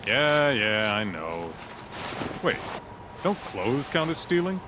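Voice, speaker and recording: A man's voice: mid-range voice, sing-song, takes it slowly, noisy background. An actor playing a blunt, streetwise tough-guy sidekick in a video game cutscene, crude but loyal. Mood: casual. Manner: blunt, slangy, swearing.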